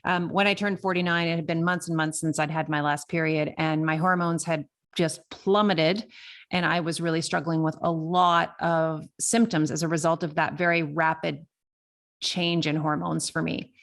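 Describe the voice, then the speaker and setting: A woman's voice: deep voice, little pitch movement, normal speed. A woman of fifty talking candidly and conversationally in a reflective solo podcast, with emphasis on key words. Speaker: podcast host.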